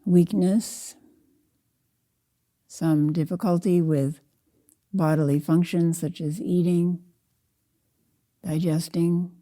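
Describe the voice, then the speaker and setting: Older woman with a very dark, mellow voice. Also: on the low side, steady tone. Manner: measured, deliberate, spoken in short phrases with pauses. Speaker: Zen teacher leading a guided meditation. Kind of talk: slow guided meditation narration.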